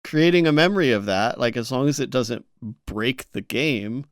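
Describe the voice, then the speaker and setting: Male co-host, medium pitch, very dry close recording, informal bantering podcast commentary. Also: lively intonation, normal speed, no echo. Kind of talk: casual conversational commentary.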